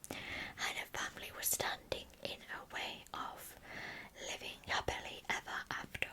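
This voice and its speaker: A woman narrating a true crime story as ASMR, in a breathy, unvoiced whisper close to the microphone with slow, deliberate storytelling. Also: bright, forceful tone, low-quality recording.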